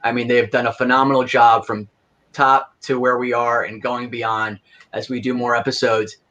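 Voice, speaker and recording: A man's voice: medium pitch, little pitch movement, normal speed, pushed and bright. A man, producer of an audio drama, chatting casually and rambling with many fillers. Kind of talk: casual chat.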